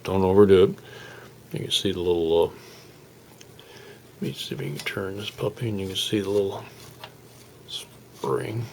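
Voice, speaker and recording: A man giving a casual, unscripted hands-on demonstration as a hobbyist, explaining in a halting, rambling, folksy way. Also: deep voice, vocal fry, dark tone, a little hiss or hum. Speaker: hobbyist radio restorer presenting his project.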